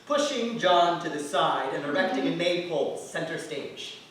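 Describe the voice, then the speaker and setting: Bright animated man in a very echoey hall. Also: fairly high pitch, normal speed. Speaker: actor reading a play synopsis aloud. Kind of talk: theatrical scripted narration. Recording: echoey hall from far off, faint noise.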